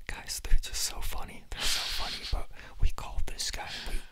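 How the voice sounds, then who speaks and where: A young man whispering as he casually tells stories to listeners, full of fillers, recorded on a phone at a friend's house. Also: normal speed. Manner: chatty and giggly, many fillers, whispering throughout. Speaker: podcast host.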